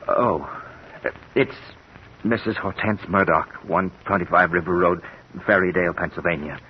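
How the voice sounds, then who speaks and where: An American actor playing a guilty, nervous murderous son-in-law, halting and melodramatic, in a 1950s radio thriller. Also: male voice, deep voice, lightly acted. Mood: nervous, evasive. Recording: muffled, hissy vintage radio recording.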